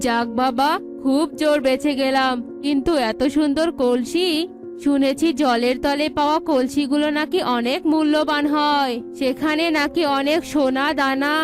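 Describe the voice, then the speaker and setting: A woman's voice: high-pitched, fairly even intonation, normal speed, lightly acted. A woman voicing a poor, self-pitying, melodramatic fisherman pigeon in a cartoon. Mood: relieved wonder. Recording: faint music behind the voice.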